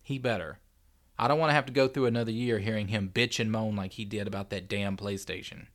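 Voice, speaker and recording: A man's voice: on the low side, steady tone, fairly quick, lightly acted. A man voicing a grumbling, wisecracking husband and father in a casual, joking way for a story.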